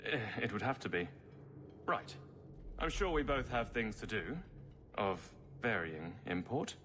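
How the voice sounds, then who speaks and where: A man's voice: lowish voice, very animated, measured pace, fairly bright tone, slight background noise. An actor playing a puzzled, plain-spoken and courteous city guardsman in a fantasy video game. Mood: bemused, polite. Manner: courteous, bemused. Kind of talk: scripted game cutscene acting.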